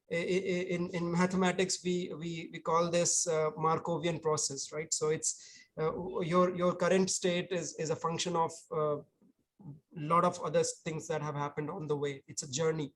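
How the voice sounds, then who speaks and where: A man's voice: a little high, little pitch movement, moderate pace, slight background noise. A man, an author, answering audience questions at an online book talk, earnest and explanatory, often repeating words as he thinks.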